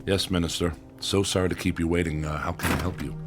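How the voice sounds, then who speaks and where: An actor playing a cautious, authoritative hospital doctor in a radio drama, formal and measured in manner. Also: male voice, low voice, steady tone, over music, close to an everyday voice. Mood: formal, deferential.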